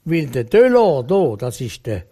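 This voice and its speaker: A man's voice: fairly high pitch, sing-song, a little slow, a crowd in the background. A man with a Swiss German accent, talking bluntly and emphatically in a rambling interview answer. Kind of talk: opinionated conversational interview.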